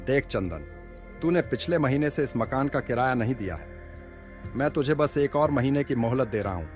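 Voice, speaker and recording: A man's voice: medium pitch, animated, normal speed, faint music behind, natural voice. A man playing a stern, demanding rich landlord in a moral-story cartoon, speaking in a curt, imperious way. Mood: stern, threatening.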